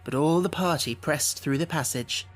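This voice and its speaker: A man's voice: on the high side, normal speed, soft background music. A man reading scripted storybook narration as an audiobook narrator.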